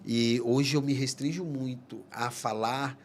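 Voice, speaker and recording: A man speaks at a steady middle pitch. He talks earnestly in a relaxed podcast chat, pausing and restarting his phrases as he works through a thought. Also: slight background noise. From Manaus, Amazonas, Brazil. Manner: earnest, halting, many restarts. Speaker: psychologist guest. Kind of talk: casual podcast conversation.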